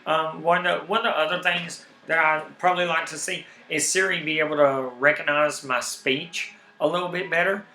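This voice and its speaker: A man with a heavy Southern accent rambles through his live stream, fired up and repeating himself. His voice sits a little high and comes across bright and forceful. The sound carries the echo of a bare room. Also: normal speed, few pauses, a little hiss or hum. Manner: rambling, animated, repeats words when worked up. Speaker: YouTube streamer. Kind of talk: casual live-stream chat.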